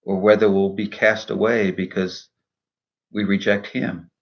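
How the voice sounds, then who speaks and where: A man speaking in a measured, earnest, deliberate way, in the style of a devotional poetry reading and reflection. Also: fairly low pitch, level tone, highs cut off. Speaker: minister and poet reading own poem.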